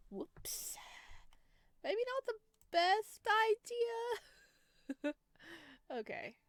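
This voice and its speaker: A woman's voice: fairly high pitch, no room sound. Woman reading a horror game aloud, dry close sound. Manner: reads narration at an even pace, breaking off for chatty asides and giggles. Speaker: game streamer. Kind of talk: reading a visual novel aloud with commentary.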